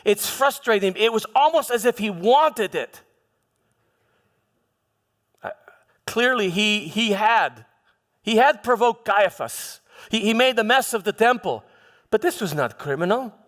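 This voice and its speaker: A proud Roman governor played by a man defends himself in a high-pitched, halting stage monologue. He is frustrated and troubled, stumbling back over his own words. The voice rings through a large hall with long reverb. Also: expressive. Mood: frustrated, defensive. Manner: halting, repeats words, swings from hushed to shouting. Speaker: preacher performing a dramatized monologue. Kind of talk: live theatrical first-person monologue. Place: large church hall, live performance.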